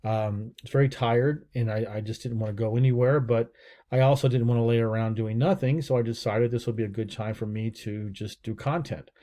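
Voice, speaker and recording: A man's voice: mid-range voice, steady tone, brisk, warm tone. A middle-aged American man talking casually to camera about his daily routine, rambling with many fillers and restarts. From United States. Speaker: real estate entrepreneur and channel host. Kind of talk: casual unscripted talking-head video.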